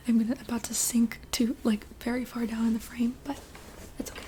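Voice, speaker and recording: A young adult woman narrating an ASMR roleplay story in a soft, unhurried whisper with casual fillers. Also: fairly high pitch, little pitch movement.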